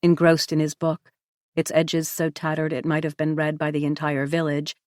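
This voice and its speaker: A woman narrating a novel in the first person, with a measured, even storytelling delivery. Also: sits low, no echo, clean studio sound. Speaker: audiobook narrator.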